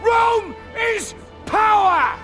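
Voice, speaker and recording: Fierce, high-straining Roman officer. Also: male voice, animated, extremely slow, soft background music, exaggerated voice. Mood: rousing, defiant. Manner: declamatory, forceful. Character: fierce Roman officer rallying his legionaries. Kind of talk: rousing battlefield oratory in a video game.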